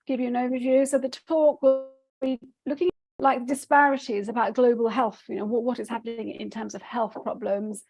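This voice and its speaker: A woman from Nepal presents her research over a video call. Her voice sits slightly high and has a gravelly, creaky edge. She talks haltingly, with frequent ums and restarts. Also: a little mellow. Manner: hesitant, many fillers and restarts. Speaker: academic presenter. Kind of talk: conference presentation.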